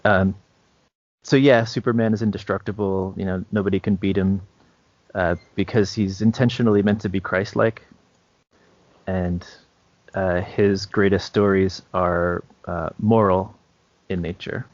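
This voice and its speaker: A man co-hosting a podcast, talking casually in a halting, measured way with pauses. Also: deep voice, fairly even intonation, a little mellow, no echo, highs cut off. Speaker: podcast co-host. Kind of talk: casual chat about tabletop roleplaying and comics.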